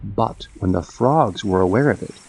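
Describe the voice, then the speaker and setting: A man's voice: fairly low pitch, lively intonation, normal speed, darkish tone. A man narrating an epic tale as a scripted, dramatized audiobook. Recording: music and effects under the voice.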